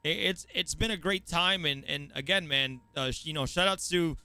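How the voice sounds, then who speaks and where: A man's voice: fairly high pitch, moderate pace, pushed and bright, no echo, soft background music. A man from the US Virgin Islands hosting an esports broadcast, stammering and repeating words in a loose, slangy chat. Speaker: esports commentator and host. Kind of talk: casual interview chat.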